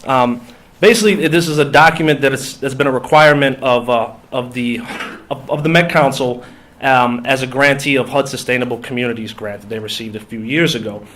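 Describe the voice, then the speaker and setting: A man with a Minnesotan accent, a coalition organizer, giving a conference talk; he sounds nasal and congested from a cold and speaks haltingly with many ums and restarts. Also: mid-range voice, fairly bright tone. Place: foundation meeting room.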